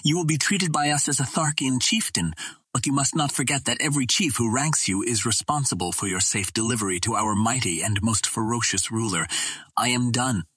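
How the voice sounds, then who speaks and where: A man voicing a stern green Martian warrior chieftain in an adventure storybook, formal and measured, with a husky voice. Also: medium pitch, a bit bright, no echo, studio quality. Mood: stern, solemn.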